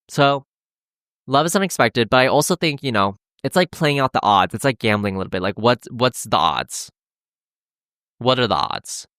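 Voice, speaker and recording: A man's voice: mid-range voice, fairly bright tone, dry recording. Young man rambling, dry close sound. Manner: rambling and candid, heavy use of "like", with frequent restarts. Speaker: podcast host. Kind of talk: casual chat about dating.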